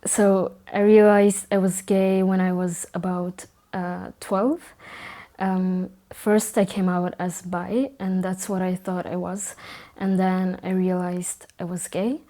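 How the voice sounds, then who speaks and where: A young woman from Italy, calmly telling her own story with some fillers. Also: fairly low pitch. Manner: calm, measured, with fillers. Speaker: documentary interviewee. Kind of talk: personal testimony.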